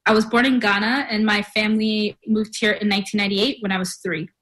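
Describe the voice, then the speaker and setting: A woman's voice: mid-range voice, flat delivery, a little fast, rough recording. A woman in her twenties, a climate activist, talking reflectively and casually about her life. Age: 20s. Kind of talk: personal interview.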